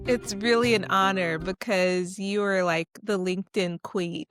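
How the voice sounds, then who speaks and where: A woman hosting a podcast, asking her guest questions in a relaxed, measured way. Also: medium pitch, over music. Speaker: podcast host. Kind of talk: casual interview chat.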